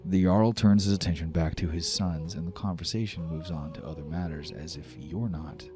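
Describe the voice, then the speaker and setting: A man's voice: deep voice, normal speed. A man narrating a fantasy tabletop game dramatically as game master. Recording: music behind the voice.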